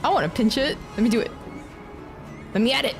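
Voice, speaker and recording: A woman livestreaming a game, playfully reacting and reading dialogue aloud in a giggly, excitable way. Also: a little high, a little fast. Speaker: streamer. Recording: game music behind the voice. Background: game music.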